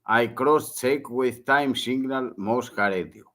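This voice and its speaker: An older man from Greece with a Greek accent, speaking halting, broken English as a second language and often repeating words in a monologue. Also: medium pitch, a bit bright. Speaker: retired merchant mariner.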